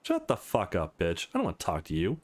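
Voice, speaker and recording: A man's voice: low-pitched, wildly swooping pitch, a little fast, pitched below the performer's own voice, full character voice. Man voicing a sneering young male bully, menacing. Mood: hostile. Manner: sneering, threatening.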